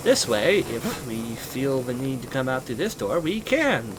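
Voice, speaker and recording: Congested American man, mid pitch, casual rambling game commentary, noisy home recording. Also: very animated, measured pace. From United States. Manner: weary, rambling, many ums. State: stuffy, congested. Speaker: let's play host.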